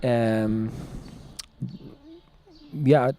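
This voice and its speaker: Guy chatting on his vlog, warm mellow voice, lots of ums and laughs. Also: medium pitch, fairly even intonation, very slow, slight background noise. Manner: hesitant, chatty, laughs often. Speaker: vlog host, singer-songwriter. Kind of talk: casual conversation.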